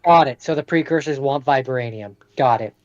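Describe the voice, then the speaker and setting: A man's voice: medium pitch, normal speed, no room sound, highs cut off. A man chatting informally and with slang as a podcast co-host. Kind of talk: casual chat.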